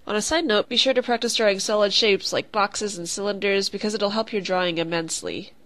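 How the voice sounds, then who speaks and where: A woman's voice: medium pitch, steady tone, brisk, fairly bright tone, no room sound. A woman with a stuffy, cold-stuffed voice, hosting a casual art tutorial, chatty and profane, with lots of "like". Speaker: art channel host.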